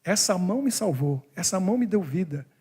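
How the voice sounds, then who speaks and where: A man's voice: high voice, lively intonation, fairly quick, warm tone, husky. A man preaching a sermon as pastor, talking to his congregation in a conversational way. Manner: conversational, talks to the congregation directly. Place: church hall in Brussels.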